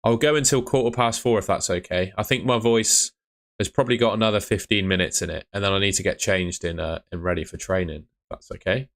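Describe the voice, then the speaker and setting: A man's voice: lowish voice, fairly quick, fairly bright tone. A young man who is losing his voice, streaming from his room at home and answering viewer questions in a blunt, chatty way. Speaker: fantasy football streamer.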